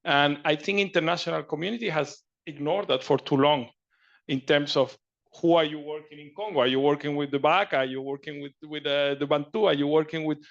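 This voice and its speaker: A man's voice: on the high side, steady tone, fairly quick, lo-fi sound. A man from Chile speaking earnestly in a meeting discussion, often repeating and restarting his words. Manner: earnest, repetitive, searching for words. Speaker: panelist.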